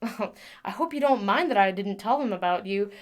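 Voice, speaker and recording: A woman playing a chatty, gentle mechanic in an audio drama, rambling affectionately to a broken machine. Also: mid-range voice, lively intonation, normal speed, natural voice. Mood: fond, chatty. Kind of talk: audio drama monologue, conversational.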